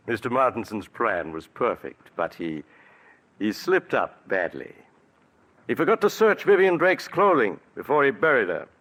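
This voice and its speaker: A man's voice: medium pitch, sing-song, fairly bright tone. An actor playing a shrewd male doctor exposing a murderer in a 1940s radio drama. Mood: probing. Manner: measured, probing, accusing. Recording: old radio broadcast recording.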